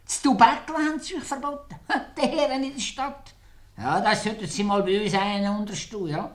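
A man's voice: high voice, a little fast, close to an everyday voice. Old male soldier, acted, boastful, jovial, mocking, countryside type, 1950s radio play. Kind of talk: 1950s dialect-flavoured radio comedy acting.

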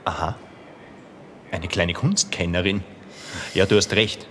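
A man's voice: low voice, a little slow, slight background noise. A man playing a mannered, patronising and hesitant museum guide giving a tour in a children's radio play. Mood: patronising, evasive. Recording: echoey gallery hall, background ambience.

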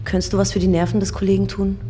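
Woman acting a brisk, dryly authoritative detective. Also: medium pitch, flat delivery, close to an everyday voice. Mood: dry, instructing. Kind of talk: German radio crime drama acting. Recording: music underneath.

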